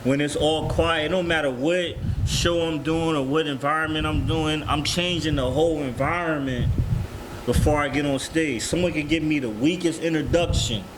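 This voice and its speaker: A man's voice: fairly high pitch, normal speed. Confident guy guesting on a hip-hop interview, slangy chat, lots of you know what i mean, noisy hum behind him. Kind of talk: casual interview talk. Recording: noisy room with background hum.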